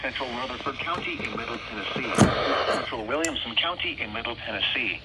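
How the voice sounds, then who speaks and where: A synthetic male announcer voice at medium pitch comes through a weather radio with clear background noise. It delivers formal severe weather warnings in a measured, impersonal manner. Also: lively intonation. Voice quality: synthetic text-to-speech voice. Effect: weather radio broadcast. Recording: noisy weather radio picked up in room.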